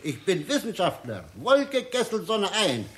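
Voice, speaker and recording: An actor playing a stubborn, terse Russian scientist with a Russian accent and broken, emphatic German in a 1960s radio play. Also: male voice, high voice, wildly swooping pitch, a little slow, a bit bright, heavily acted. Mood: insistent, amused.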